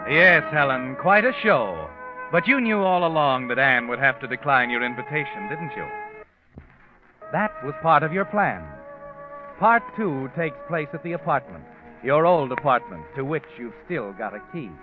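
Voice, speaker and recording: An actor playing a sinister, all-knowing narrator of a radio crime mystery, with an insinuating, knowing delivery. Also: male voice, on the high side, very animated, moderate pace. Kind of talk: 1940s radio suspense narration in second person. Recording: muffled old radio recording with music.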